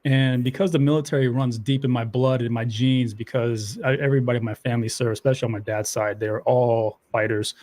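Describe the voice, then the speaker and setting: A man from Afghanistan talks easily as a podcast guest, restarting his sentences now and then as he works out what to say. His voice sits at an ordinary middle pitch, and his delivery stays loose and conversational. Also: brisk, hardly any pauses. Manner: relaxed, repeats words as he thinks. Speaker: guest, tactics instructor. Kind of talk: casual podcast interview.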